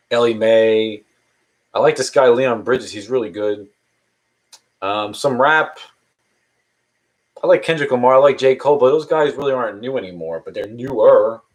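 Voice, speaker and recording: A man in his early thirties chatting casually on a live stream, answering viewer questions in a rambling, hesitant way with many restarts. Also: on the low side. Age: 30s. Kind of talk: casual chat.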